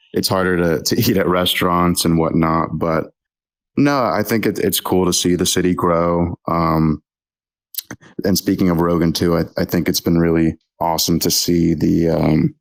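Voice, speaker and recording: A young man in his twenties with a deep voice that stays level and rarely rises or falls. He talks casually as a podcast guest, hesitant and full of ums and restarts, in a steady monotone. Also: moderate pace. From Texas. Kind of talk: casual interview chat.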